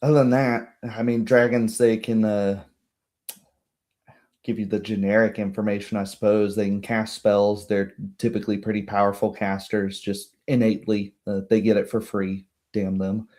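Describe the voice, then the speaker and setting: A man voicing a small, blunt gnome woman in a tabletop game, rambling informally and haltingly as she explains things. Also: fairly low pitch, level tone, darkish tone, natural voice. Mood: matter-of-fact, wry. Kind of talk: tabletop roleplay dialogue.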